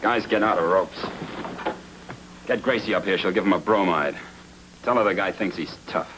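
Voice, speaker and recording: A man's voice: low-pitched, moderate pace, fairly bright tone. An American actor playing a tough, sarcastic gangster henchman in film noir style, with slangy, menacing wisecracks. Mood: scornful. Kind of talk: 1940s film noir radio-style acting. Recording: noisy old film soundtrack.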